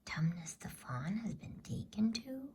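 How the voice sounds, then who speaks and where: A woman voicing a curious, polite young girl in a breathy whisper for a storybook reading. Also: medium pitch, big pitch swings, measured pace, dark tone, voice pushed up, heavily acted. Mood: wondering, eager.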